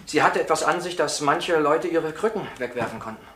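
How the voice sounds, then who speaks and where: An actor playing a defensive, emotional former drug counsellor in a crime radio drama, speaking fervently and haltingly. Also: male voice, a little high, animated, natural voice. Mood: earnest, fervent. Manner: halting, fervent, pleading for understanding. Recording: echoey room, noisy old recording.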